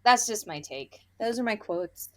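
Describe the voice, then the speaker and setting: A woman's voice: mid-range voice, animated, measured pace, a bit bright, a little hiss or hum. A woman co-hosting a casual book review podcast, talking candidly and animatedly as she retells her own reactions. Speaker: podcast co-host.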